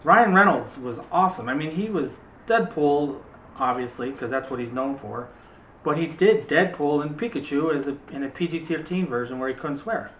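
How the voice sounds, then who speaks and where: A man's voice: fairly high pitch, moderate pace, a bit bright. Guy hosting a movie channel, bantering and teasing, echoey room with a bit of background noise. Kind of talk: casual movie discussion.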